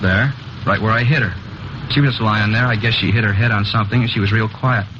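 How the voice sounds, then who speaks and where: A man's voice: fairly even intonation, fairly quick, natural voice. A man playing a jittery confessing killer. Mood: brooding. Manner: edgy, whiny, rising to shrill protests. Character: nervous, defensive young man confessing to a murder. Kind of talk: 1950s radio drama acting. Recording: dull, hissy 1950 radio transcription.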